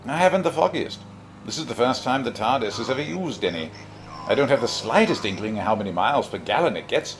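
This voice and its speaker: An actor playing an eccentric, flippant time-travelling alien adventurer, whimsical, wry and fussily precise, in an audio drama. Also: male voice, medium pitch, expressive, fairly quick, a little hiss or hum, natural voice. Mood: wry, musing.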